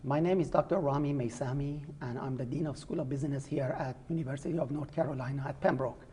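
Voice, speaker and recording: A middle-aged man, a business school dean, hosting an informal educational interview in a measured way with frequent hesitations. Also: medium pitch, steady tone, dark tone, a little hiss or hum.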